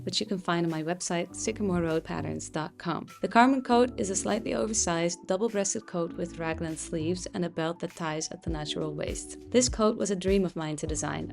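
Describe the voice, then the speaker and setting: A woman whose first language is Dutch, a pattern designer calmly explaining a sewing project step by step with occasional fillers. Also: fairly low pitch, creaky. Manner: calm, explanatory, with frequent "uh" fillers. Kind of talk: sewing tutorial.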